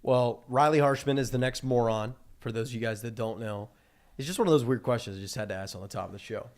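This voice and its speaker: A man's voice: medium pitch, quick pace, a little mellow. 20yo guy hosting a chill studio podcast, rambly, lots of likes and um. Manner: loose, rambling, many fillers and restarts. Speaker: podcast host. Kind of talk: casual chat. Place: small college podcast studio. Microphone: studio mic on boom arm.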